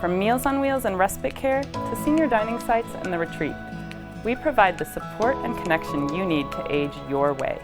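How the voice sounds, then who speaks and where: A woman hosting a community talk show, reading scripted lines in a bright announcer-like way. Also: mid-range voice, lively intonation, moderate pace, over music. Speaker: host.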